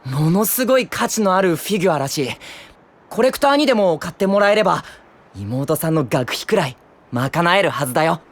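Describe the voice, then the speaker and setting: A man's voice: fairly high pitch, a little fast, lightly acted. A man playing an earnest, kind-hearted young defense lawyer who flusters easily, in an audio drama. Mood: gentle, earnest. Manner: earnest, casual, expressive. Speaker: defense attorney. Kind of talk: Japanese audio drama acting.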